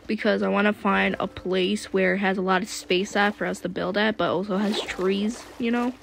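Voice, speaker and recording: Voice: mid-range voice, fairly even intonation, normal speed, vocal fry, fairly bright tone. A young streamer chatting casually while playing a block-building game, rambling with restarts. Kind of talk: casual gaming chat.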